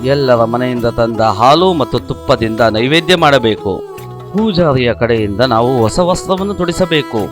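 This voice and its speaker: Declamatory village man, acted by a man, medium pitch. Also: lively intonation, normal speed, over music, close to an everyday voice. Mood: solemn, rousing. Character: earnest village men: leader and alarmed old visionary.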